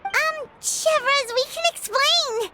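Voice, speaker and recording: An actress playing a bubbly, eager, excitable young woman in a video game, perky and enthusiastic. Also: extremely high pitch, takes it slowly, heavy background noise. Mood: flustered. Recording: background music under the voice.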